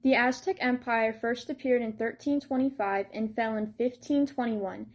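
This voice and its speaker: A woman's voice: a little high, steady tone, normal speed. A young woman giving a scripted history presentation in the role of a soldier. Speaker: student presenter playing a Janissary.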